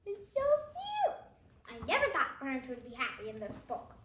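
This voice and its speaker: A child reading a picture book aloud in a halting way, adding casual comments along the way. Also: high voice, huge pitch swings, pushed and bright, a little hiss or hum. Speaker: child reader, host of a reading channel. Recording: echoey room.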